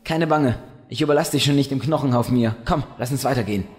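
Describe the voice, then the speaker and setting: A man's voice: medium pitch, fast, close to an everyday voice. A man playing a bold, protective young swordsman with a wry streak in a fantasy audio drama. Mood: wry, calm. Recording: long cave-like reverb, loud music.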